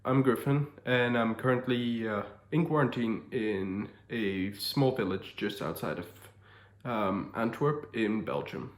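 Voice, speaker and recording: A young man in his twenties with a lowish voice, talking slowly in a relaxed, wry way. The sound is roomy and echoey. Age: 20s. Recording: echoey room.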